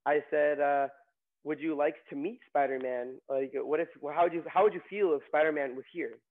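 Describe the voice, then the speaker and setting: A man's voice: on the high side, fairly even intonation, moderate pace. A young man from New York, a new nurse, telling stories in a chatty interview with many fillers and restarts. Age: young adult. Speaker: nursing graduate guest. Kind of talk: casual interview storytelling. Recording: tinny phone-like line.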